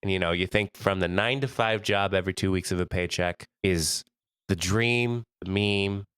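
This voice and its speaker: A man's voice: sits low, normal speed, fairly bright tone, smooth, no echo, studio quality. An American man co-hosting a podcast, chatty and laughing often, his voice stuffy from allergies. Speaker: podcast co-host. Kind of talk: casual podcast chat.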